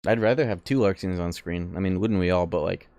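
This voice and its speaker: Low-voiced man musing over gameplay. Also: brisk, a little mellow, slight background noise. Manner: musing, analytical, sweary, slangy. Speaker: game streamer. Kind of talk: casual live gameplay commentary, thinking aloud. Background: music behind the voice.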